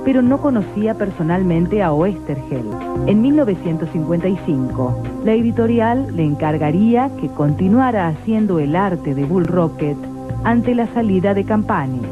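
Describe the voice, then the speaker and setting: A woman's voice: lowish voice, lively intonation, normal speed. A woman reads scripted documentary narration. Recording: thin phone-like sound, loud music behind. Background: music.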